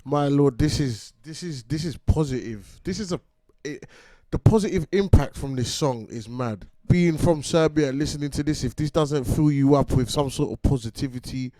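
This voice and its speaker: A man's voice: on the high side, normal speed, hardly any pauses, dark tone, dry recording. A young man chatting in a laid-back way on a reaction video, full of slang. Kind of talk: casual reaction chat.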